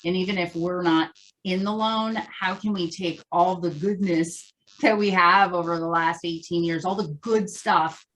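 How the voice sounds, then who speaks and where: A woman with a fairly low voice speaks as an interview guest. She explains her company's approach in a conversational, earnest way, often framing points as questions. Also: steady tone, normal speed, a bit bright, low-quality recording.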